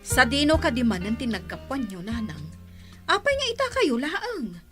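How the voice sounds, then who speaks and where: Scolding daughter, very high pitch, swooping intonation, reproachful, pleading, radio drama. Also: female voice, big pitch swings, normal speed, music in the background. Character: exasperated, dutiful grown daughter scolding her mother. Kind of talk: Ilocano radio drama acting.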